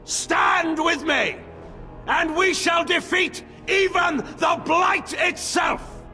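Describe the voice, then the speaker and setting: An actor playing a proud, bitter middle-aged general in a game cutscene, speaking with grandiose, commanding oratory. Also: male voice, extremely high pitch, takes it slowly, full character voice. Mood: rousing, defiant. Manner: oratorical, forceful, grandiose delivery. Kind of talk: dramatic game cutscene acting. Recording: long echoing hall, faint music behind.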